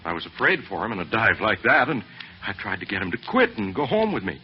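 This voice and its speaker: A man's voice: lowish voice, very animated, fast talker. An actor playing a missing man's anxious friend, giving an earnest account to a detective in an old radio drama. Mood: earnest, uneasy. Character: anxious male friend of a missing man, a client. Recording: muffled, noisy vintage radio broadcast.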